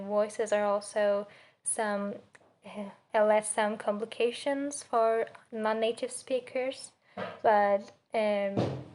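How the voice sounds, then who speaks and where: A young woman with a Turkish accent giving a spoken student presentation, haltingly searching for words and pronouncing example words carefully. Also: medium pitch, darkish tone. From Turkey. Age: young adult. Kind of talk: spoken assignment presentation on pronunciation.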